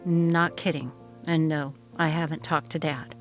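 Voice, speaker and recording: A woman's voice: low-pitched, steady tone, unhurried, faint music behind. A woman voicing an earnest, responsible young adult son in a dramatized story, sober and restrained in manner. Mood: matter-of-fact.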